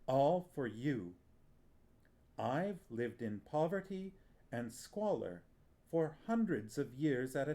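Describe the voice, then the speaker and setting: Storybook: ancient sorceress aunt read by a man, icy, flat and cutting. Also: mid-range voice.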